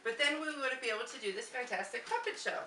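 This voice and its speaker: A woman's voice: sits high, lively intonation, fast, a loud crowd. A woman performing a scripted amateur family skit, recorded live at a gathering with noise behind her. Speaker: puppet show performer at a family reunion. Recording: distant, echoey, noisy home video of live show.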